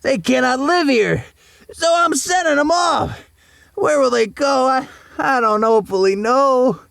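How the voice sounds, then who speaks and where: Grumpy old storybook creature, very high, croaky, scolding, indignant, drawn-out delivery. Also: male voice, lively intonation, a bit bright, no echo, clean studio sound, exaggerated voice. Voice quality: croaky, querulous. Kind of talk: animated children's storybook read-along.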